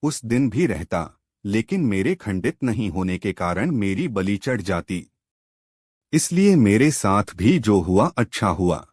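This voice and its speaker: A man voicing a loyal, calm male royal minister in a storybook tale, speaking earnestly. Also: lowish voice, unhurried, breathy edge, dry recording, studio quality, full character voice.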